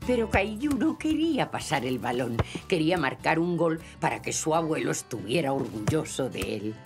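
A woman with a Castilian Spanish accent narrating a children's cartoon in an expressive, gentle storytelling style. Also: low voice, moderate pace, over music.

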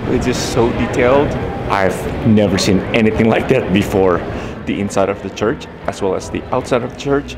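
A man's voice: fairly low pitch, normal speed, creaky, over music. A young man hosting a casual travel vlog outdoors, talking enthusiastically about what he sees. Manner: enthusiastic, marvelling. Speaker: travel vlogger host. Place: outdoors at a mountain monastery.

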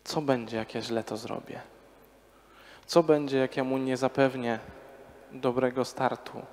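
Conversational priest in a reverberant church. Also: male voice, medium pitch, fairly even intonation, unhurried, darkish tone. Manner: conversational preaching, rhetorical questions. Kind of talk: parish sermon. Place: large church before a congregation. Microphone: handheld microphone.

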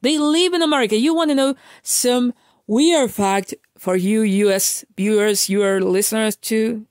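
A woman's voice: on the high side, measured pace, darkish tone, no echo. Emphatic ranting woman, dry close voice. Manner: emphatic, lecturing, frequent restarts and fillers. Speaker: vlogger. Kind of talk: casual ranting monologue.

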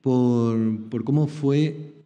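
A middle-aged man hosts a live chess talk from a table mic, chatty and full of repeated words and tag questions. His voice is very mellow and dark. The sound is dull and carries a long reverb from the small lecture hall. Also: medium pitch, fairly even intonation, drawn-out words. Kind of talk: live public interview.

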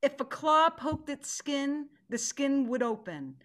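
Lady doing stern tiger chief, high bright voice, very formal, stage play. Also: lively intonation, exaggerated voice. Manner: ceremonious, deliberate. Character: formal, stern tiger presiding over animal council. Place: living room at home. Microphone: video call.

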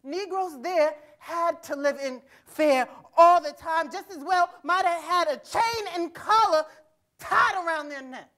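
Young man playing high-voiced, defiant teenage boy. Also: sharp tone, heavily acted. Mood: bitter, indignant. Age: young adult. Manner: folksy vernacular, halting emphatic delivery. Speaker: actor in a dramatic reading. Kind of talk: staged dramatic reading. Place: theatre-like stage in a conference hall. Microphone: lapel.

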